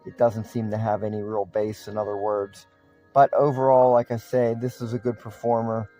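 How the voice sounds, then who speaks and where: Male reviewer, low-pitched and mellow, casual and matter-of-fact, faint music behind. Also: monotone, moderate pace, rough recording. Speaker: product reviewer. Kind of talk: casual unscripted product review.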